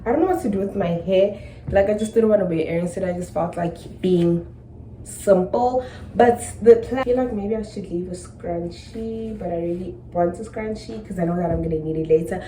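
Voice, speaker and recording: A young South African woman chatting to her camera in a rambling, casual way, tired and low on energy. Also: a little fast, warm tone, slight background noise, rough recording. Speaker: vlogger. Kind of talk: casual vlog chat to camera. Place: indoors, then out in the city streets.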